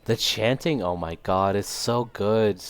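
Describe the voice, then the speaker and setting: A man's voice: lowish voice, measured pace, clear, resonant tone. A man streaming a game, chatting casually and thinking aloud while losing his voice. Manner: rambling, thinking aloud, many fillers. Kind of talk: casual live game commentary.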